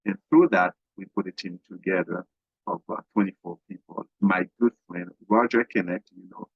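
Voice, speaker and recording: A middle-aged man from Haiti, a guest business coach talking haltingly in an interview, with many fillers and restarts. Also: deep voice, steady tone, husky, no room sound. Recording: dull, dry lo-fi sound.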